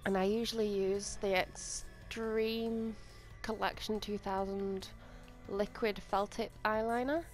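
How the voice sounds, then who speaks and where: A woman's voice: medium pitch, fairly even intonation, takes it slowly. A young woman with a slightly stuffy voice from a cold, rambling casually through a makeup tutorial with plenty of ums and likes. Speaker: makeup vlogger. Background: faint music.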